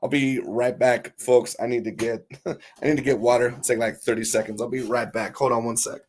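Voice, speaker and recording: A man with a hoarse, raspy voice, talking casually on a live stream as host, reading viewer comments and calling his viewers folks. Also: medium pitch, steady tone, fairly quick, darkish tone. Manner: chatty, curses casually, calls viewers folks.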